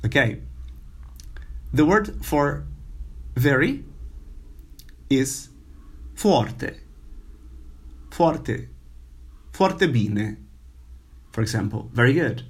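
Slow, halting non-native male teacher. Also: mid-range voice, lively intonation, slightly rough. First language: not English. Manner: slow, halting, many fillers and repetitions. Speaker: language teacher. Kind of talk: informal language lesson.

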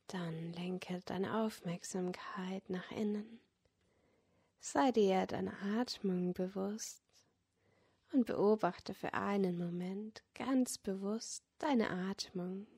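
A woman leading a guided yoga nidra relaxation as a meditation guide, speaking in a gentle, soothing manner that often drops close to a whisper. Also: mid-range voice, takes it slowly, breathy edge. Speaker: meditation guide and podcast host.

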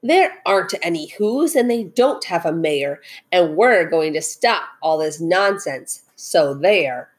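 A woman's voice: on the low side, lively intonation, slow, few pauses. A woman voicing a bullying jungle monkey from a storybook, scoffing and bossy. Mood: scornful.

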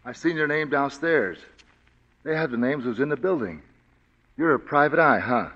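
An actor playing a huge, slow, simple-spoken male ex-convict in a 1940s radio drama, talking bluntly and ungrammatically, on a dull lo-fi broadcast recording. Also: medium pitch, lively intonation, a bit bright. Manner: plain, blunt, ungrammatical speech.

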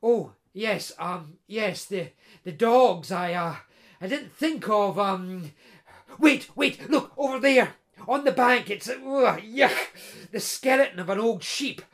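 A woman's voice: mid-range voice, slow. A woman voicing a timid poor young man who stammers and hesitates. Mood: flustered.

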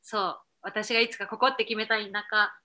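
Bright-voiced woman in friendly podcast chat. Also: medium pitch, level tone, moderate pace. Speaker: podcast co-host. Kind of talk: casual chat between friends. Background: background music.

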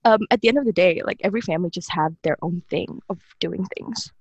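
Young woman from indonesia hosting a relaxed chat podcast, very dry close audio. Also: on the low side, quick pace, slightly rough, no room sound, muffled recording. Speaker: podcast host. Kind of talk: casual panel discussion.

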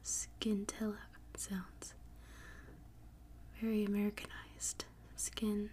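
A woman podcast host whispering softly in an ASMR style, breathy and unhurried, reading out and repeating words. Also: on the high side, level tone, slight background noise. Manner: soft, unhurried, repeats and spells words slowly.

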